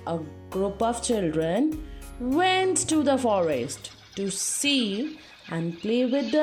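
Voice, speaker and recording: A woman teacher telling a moral story to young children, speaking deliberately and addressing her young listeners directly. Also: on the high side.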